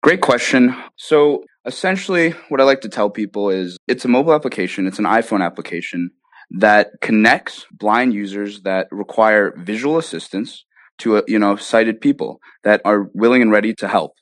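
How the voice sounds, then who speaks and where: Low-voiced man explaining in an interview. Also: moderate pace, no room sound. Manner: conversational, punctuates points with "right?" and "you know". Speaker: guest, app founder being interviewed.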